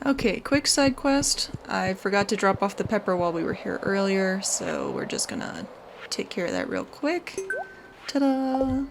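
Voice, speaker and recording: Creaky-voiced woman doing casual game commentary. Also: mid-range voice, wildly swooping pitch, normal speed, noisy background. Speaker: gaming video host. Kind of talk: casual let's play commentary. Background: music.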